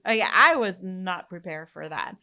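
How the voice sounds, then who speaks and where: Mexican accent lady hosting a podcast, bright voice, rambly chatty stories. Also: on the low side, animated, moderate pace. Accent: Mexican Spanish. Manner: chatty, storytelling with many fillers. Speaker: podcast host. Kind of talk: casual chat.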